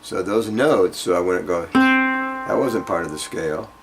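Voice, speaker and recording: A man giving an informal guitar lesson, speaking haltingly as he thinks aloud and demonstrates. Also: low-pitched. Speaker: guitar teacher. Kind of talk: informal instructional music lesson. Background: acoustic guitar playing.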